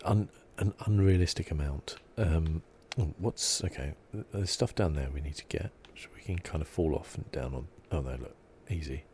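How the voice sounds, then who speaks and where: A man giving soft-spoken ASMR commentary as he plays a game, hushed, relaxed and meandering, close to the mic. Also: rumbling low, moderate pace, hardly any pauses, a little hiss or hum. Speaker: ASMR gaming host.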